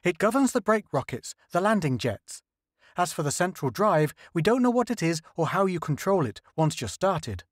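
A man's voice: sits high, sing-song, normal speed, no room sound, studio quality, voice pushed up. A man voicing a fussy male scientist who explains technical details in a story reading. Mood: explaining. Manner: explanatory, lecturing.

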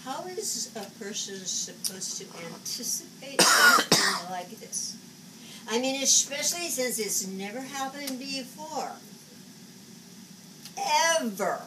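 A woman's voice: high-pitched, very animated, heavily acted. A woman in her seventies playing a flamboyant, agitated psychic who scolds spirits in a dramatic stage monologue. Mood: indignant. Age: 70s. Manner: dramatic, exasperated, halting muttering to outbursts. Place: small indoor stage set. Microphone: camera a few metres away. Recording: distant camera recording, noisy room.

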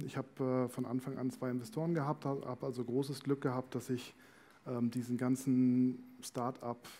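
A man's voice: mid-range voice, dark tone. A man in his thirties explaining things informally as an interview guest, hesitant and thinking aloud, on a headset mic on an indoor stage. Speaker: company founder as interview guest. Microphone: headset microphone.

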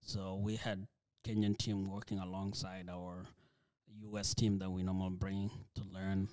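Soft-spoken man, flat low voice. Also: no room sound. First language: Dinka. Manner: soft-spoken, halting, many fillers and restarts. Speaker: ministry leader, guest. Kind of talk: church interview conversation.

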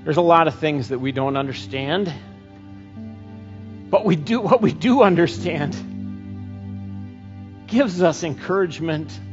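A middle-aged man preaching a sermon and leading a closing prayer, slow and earnest with frequent pauses, on a headset mic. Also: fairly high pitch, animated, over music. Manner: slow, earnest, pausing often. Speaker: pastor. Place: church stage, indoors. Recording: dull church recording with long reverb.

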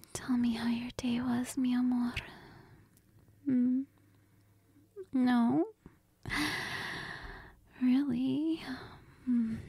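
A woman's voice: on the high side, warm tone, no echo, full character voice. A woman playing a needy, cuddly girlfriend in an intimate audio role-play, whispery and tender, with hesitant murmurs. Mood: soft, pleading. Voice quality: breathy, whispery. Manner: hesitant, giggly, tender, murmuring with Spanish endearments. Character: needy, cuddly, affectionate girlfriend who missed her partner.

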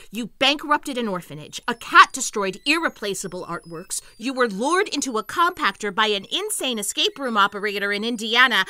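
A woman playing a stern, sharp-tempered intelligence section chief in an audio drama, commanding and bitingly sarcastic. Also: on the high side, no room sound.

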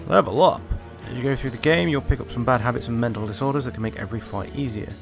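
A man's voice: medium pitch, fast talker, creaky. A man giving casual video game commentary and reading text aloud. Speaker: game reviewer. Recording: game music behind. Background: game music.

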